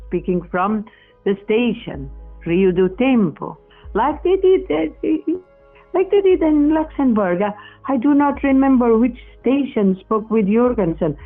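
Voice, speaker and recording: A woman's voice: fairly high pitch, lively intonation, a little slow, faint music behind. Older Portuguese woman on a tinny line. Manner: thoughtful, searching for words, frequent restarts. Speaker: guest, researcher of spirit voice recordings. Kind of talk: interview over a remote call.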